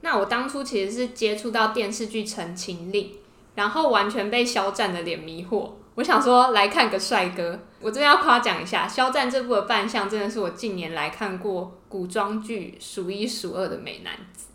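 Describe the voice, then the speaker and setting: A woman's voice: medium pitch, animated, normal speed, a bit bright. A woman with a Taiwanese Mandarin accent co-hosting a podcast in casual, gushing fangirl chat. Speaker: podcast co-host. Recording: roomy indoor echo.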